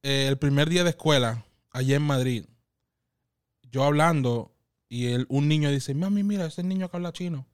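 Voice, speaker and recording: Animated Dominican man telling stories. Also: fairly high pitch, normal speed, clear, resonant tone. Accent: Dominican Spanish. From Dominican Republic. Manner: animated, laughs often, quotes others in lively voices. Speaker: guest. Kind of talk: casual interview storytelling.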